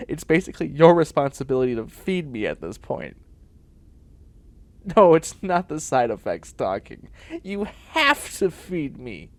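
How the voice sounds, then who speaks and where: A man's voice: a little high, no room sound, exaggerated voice. A man playing a bossy, clingy young catboy in a comedic roleplay audio drama, whining and demanding in a needy way. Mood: flustered, demanding.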